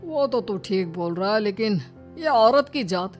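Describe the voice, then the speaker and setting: A woman's voice: mid-range voice, lively intonation, unhurried, faint music behind, close to an everyday voice. A woman voicing a domineering older father-in-law in a cartoon story, threatening and scornful. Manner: threatening, sneering.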